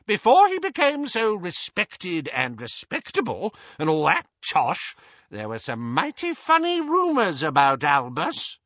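A man voicing a haughty, gossiping great-aunt witch over a hundred years old in a storybook, shrill, screechy and imperious. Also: huge pitch swings, measured pace, fairly bright tone, no echo, highs cut off, exaggerated voice. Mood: gossipy, needling. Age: over 100. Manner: imperious, blunt, tipsy.

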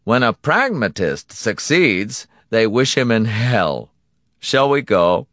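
A man performs an audiobook villain, a smooth and condescending boss. His pitch sits raised and moves with lively swings, and he stretches his mock-polite phrases with unruffled menace. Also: dry recording, clean studio sound, full character voice. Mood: weary, lecturing. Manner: mock-polite, indulgent, drawn-out delivery. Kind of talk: audiobook character acting.

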